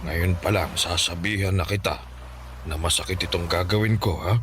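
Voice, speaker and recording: Over clear background noise comes an older Filipino village healer, low-pitched and grave. He speaks calmly and deliberately, a knowing radio drama elder who hums in thought. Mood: grave, warning. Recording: clearly noisy background ambience.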